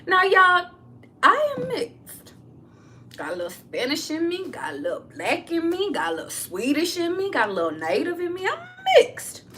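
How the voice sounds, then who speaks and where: A woman's voice: very high-pitched, wildly swooping pitch, moderate pace, sharp tone, a little hiss or hum. A woman, a tarot reader, telling a personal story to her viewers in a blunt, candid way, swearing freely and talking straight to the audience.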